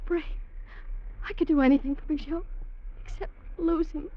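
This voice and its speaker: A woman's voice: sits high, fairly even intonation, moderate pace, lightly acted. A woman playing a desperate, devoted young lover in a 1940s radio melodrama, pleading with theatrical urgency. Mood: despairing. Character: desperate, devoted young Frenchwoman in love with a convict. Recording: dull vintage radio recording.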